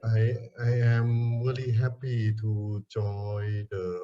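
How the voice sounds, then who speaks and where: A man's voice: lowish voice, level tone, takes it slowly, dark tone. A man with a Thai accent giving formal guest remarks over a video call, hesitant and often repeating words. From Thailand. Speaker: guest speaker, Buddhist organisation president. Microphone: online video call. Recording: dull, lo-fi video call audio.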